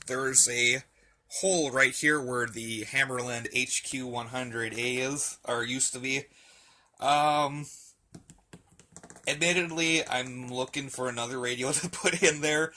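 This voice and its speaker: Bright, sharp-voiced man chatting casually. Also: mid-range voice, a little slow. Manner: hesitant, searching for words. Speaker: hobbyist video host. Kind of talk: casual hands-on collection tour, off the cuff. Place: indoor room with shelves of radios. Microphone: handheld camera mic, behind the camera.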